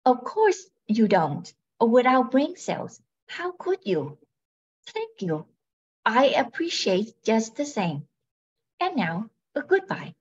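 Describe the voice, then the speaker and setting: Through a slightly muffled recording comes a robot wife played by an older woman in an amateur radio play. She talks very slowly, with mechanical courtesy. Her manner is measured and coolly calculating, even as she apologises. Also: a little high, breathy edge, exaggerated voice. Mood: coolly apologetic. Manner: robotic, measured, matter-of-fact. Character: tall female robot wife, polite, coldly calculating. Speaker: amateur radio drama actor. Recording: dull, muffled lo-fi sound.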